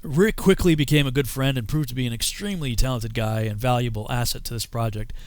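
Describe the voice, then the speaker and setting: A man gives conversational documentary narration. His pitch is average for a man. The sound is very dry, with no room echo. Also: fast. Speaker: filmmaker and narrator.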